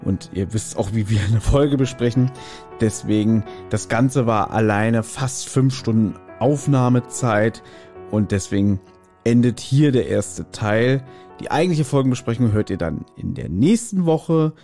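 Mellow-voiced man hosting a podcast. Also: mid-range voice, animated, unhurried, soft background music. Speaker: podcast host. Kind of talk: conversational podcast announcement.

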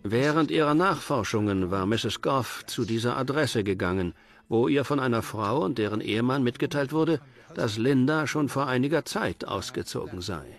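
A man's voice: mid-range voice, lively intonation, normal speed, close to an everyday voice. A man dubbing a documentary interviewee who gives a factual account. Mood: matter-of-fact. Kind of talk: documentary voice-over dub. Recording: music bed under the voice.

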